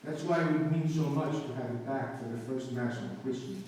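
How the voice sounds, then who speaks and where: Man acting a gentle priest in an echoing hall. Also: medium pitch, fairly quick, a little hiss or hum, natural voice. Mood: wistful, sad. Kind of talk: old-time radio drama acting. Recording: very echoey hall, distant mic, music.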